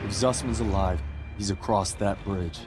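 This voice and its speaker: A man's voice: lowish voice, fairly even intonation, normal speed, lightly acted. A man playing a brooding young soldier who narrates a war game in the first person, over music. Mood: brooding, resolute. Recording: prominent film score behind narration.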